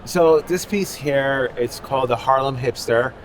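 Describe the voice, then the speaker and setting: A man's voice: mid-range voice, a little slow, crowd noise, rough recording. A man from Haiti, an artist, talking loosely in an interview at an outdoor art fair. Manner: loose and rambling, leaning on filler phrases. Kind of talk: casual interview.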